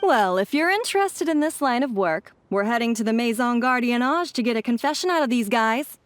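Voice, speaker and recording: Game dub: actress voicing a brisk, commanding officer, bright and animated. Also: female voice, a little high, dry recording, natural voice. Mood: grateful. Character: brisk, upbeat woman police officer.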